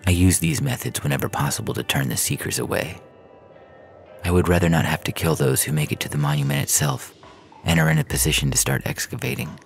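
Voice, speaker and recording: A man reading a scripted science fiction story in the first person, in a measured, literary storytelling manner. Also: deep voice, big pitch swings, creaky. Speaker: fiction podcast narrator. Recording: music bed under the reading. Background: music.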